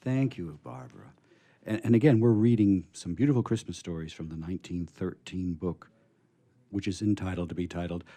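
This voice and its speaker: A man hosts and narrates stories for radio. His voice is low and mellow, and it swings widely in pitch as he stresses words dramatically. The delivery is that of someone reading aloud. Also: no echo. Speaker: radio show host and story reader. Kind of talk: scripted story narration with hosting remarks.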